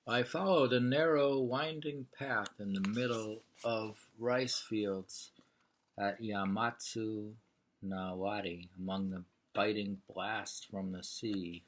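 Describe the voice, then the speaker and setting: A man reading poetry and travel prose aloud informally, in a halting, deliberate way. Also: on the low side, fairly even intonation, poor audio. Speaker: reader of a poetry travel sketch.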